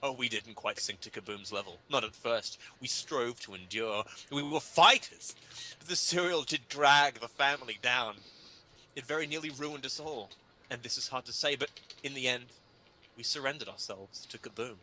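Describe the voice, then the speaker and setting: A man's voice: mid-range voice, moderate pace, bright, forceful tone, a little hiss or hum, poor audio. A man giving a theatrical, pompous dramatic reading of comic prose in a mock-grave manner. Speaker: reader of a humorous article.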